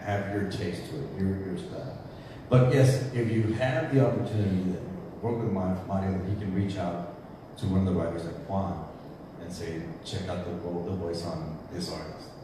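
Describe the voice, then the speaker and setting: A man with a fairly low voice chats loosely on a workshop panel, drifting now and then into Spanish phrases. He is heard from a distance across a big, echoing hall. Steady noise hangs under his voice. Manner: rambling and conversational, slips into Spanish phrases. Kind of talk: casual panel talk. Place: large hall before an audience. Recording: echoey hall, mic far off, noisy.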